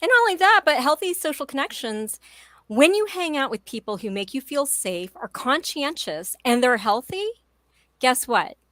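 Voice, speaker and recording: A woman's voice: high-pitched, lively intonation, moderate pace, pushed and bright, no echo. A woman in her forties speaking as a guest in an interview, emphatic and enthusiastic in a motivational way. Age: 40s. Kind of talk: conversational interview.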